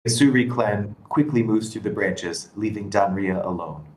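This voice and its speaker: A man's voice: fairly low pitch, moderate pace, a little mellow. A man reading screenplay action lines as scripted narration at a table read, recorded in an echoey room. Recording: echoey room with faint noise.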